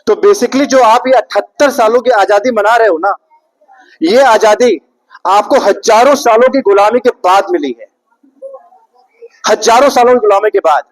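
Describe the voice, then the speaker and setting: A man's voice: high-pitched, normal speed, rough recording. A young man giving a public speech to an audience, rhetorical and repetitive, putting questions to the listeners. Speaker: speaker at an Independence Day event.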